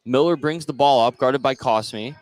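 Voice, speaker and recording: A man's voice: mid-range voice, moderate pace. A man doing live basketball radio play-by-play, narrating each play in short phrases. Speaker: play-by-play commentator.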